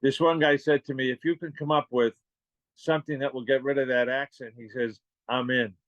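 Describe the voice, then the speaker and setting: A man with a Boston accent telling personal stories casually and haltingly as a participant on a video call. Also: medium pitch, fairly even intonation, fairly bright tone, no echo, highs cut off. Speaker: meeting participant giving a testimonial.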